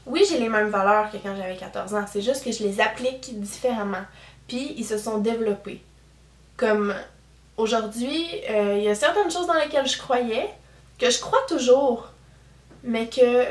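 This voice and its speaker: An 18-year-old woman with a strong Québécois French accent, chatting candidly to her viewers in a casual vlog, full of fillers. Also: fairly high pitch. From Quebec. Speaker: YouTuber. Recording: roomy echo, camera at a distance.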